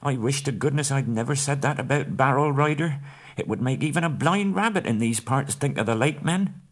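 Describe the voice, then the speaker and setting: Man doing a squeaky, nervous little hobbit. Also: lightly acted. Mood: worried. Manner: high squeaky voice, hurried and nervous. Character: small timid male hobbit, nervous and polite.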